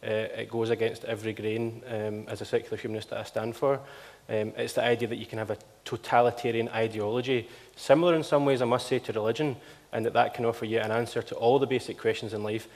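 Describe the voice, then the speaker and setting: A man's voice: lowish voice, level tone, quick pace, darkish tone. A man speaking in a public debate, hesitant with many fillers and restarts. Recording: long hall reverb.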